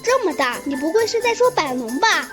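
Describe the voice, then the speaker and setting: An actor voicing a timid, gullible predatory dinosaur sidekick in an animated cartoon, doubtful and full of questions. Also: very high voice, brisk, music in the background. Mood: curious. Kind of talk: animated cartoon character acting.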